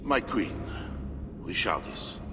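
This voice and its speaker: An actor playing an imperious, pious Frankish king in a video game cutscene, scornful and brooding, speaking theatrically and deliberately with drops into whispers. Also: on the low side, big pitch swings. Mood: cool, brooding. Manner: theatrical, slow and deliberate, sighing and dropping to whispers. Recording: loud dramatic music underneath. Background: game score music.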